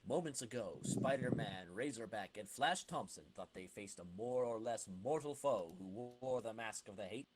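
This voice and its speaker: A man's voice: mid-range voice, expressive, moderate pace, hardly any pauses, poor audio. A man co-hosting a podcast, doing amateur dramatic narration and chat. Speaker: podcast co-host reading comic narration.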